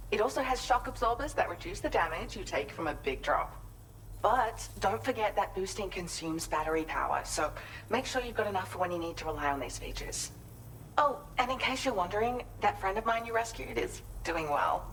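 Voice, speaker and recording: A woman's voice: medium pitch, a bit bright, a little hiss or hum, poor audio. An actress playing a kind, composed woman prospector and inventor in a video game, speaking politely and articulately in an explanatory way. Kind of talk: scripted video game cutscene dialogue.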